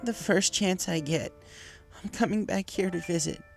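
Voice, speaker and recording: A young pegasus mare from a storybook cartoon world, acted out by a man, with a crackly edge to the voice. She is eager yet unsure of herself and talks in halting bursts over music. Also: high voice, creaky, pitched above the performer's own voice. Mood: tearful. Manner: hesitant, halting. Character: eager, hesitant young female pegasus pony. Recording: music behind the voice.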